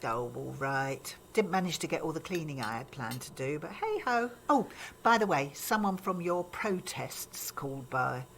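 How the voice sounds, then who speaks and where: A disapproving grandmother in an audio drama, older and set in her ways. Her chiding, gossipy talk swings widely in pitch, over a faint noisy background. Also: animated, moderate pace, few pauses. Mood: indignant, gossipy. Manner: chiding, matter-of-fact, gossipy. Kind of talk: naturalistic radio drama acting.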